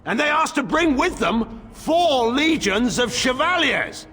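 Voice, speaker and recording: A man's voice: very high-pitched, very animated, measured pace, bright, forceful tone, husky, full character voice. An actor playing a proud, suspicious nobleman general in a video game, declaiming with sardonic, theatrical oratory in a very reverberant hall. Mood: accusing, rousing.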